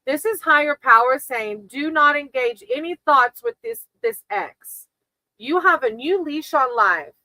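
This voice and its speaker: Lady streamer doing tarot readings, kinda high bright voice, encouraging and spiritual, chatting casually, really dry sound. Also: animated, unhurried, dry recording, low-quality recording. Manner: reassuring, encouraging. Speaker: tarot reader hosting a livestream. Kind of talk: casual live tarot card reading with chat.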